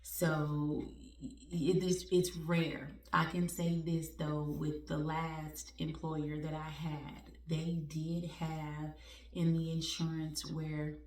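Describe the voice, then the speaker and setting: Slow-talking woman in her forties, roomy sound. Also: low-pitched, flat delivery, a little mellow, recorded from a distance. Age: 40s. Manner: candid, conversational, laughs at herself, uses y'all. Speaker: vlogger. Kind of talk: personal heartfelt talk to viewers.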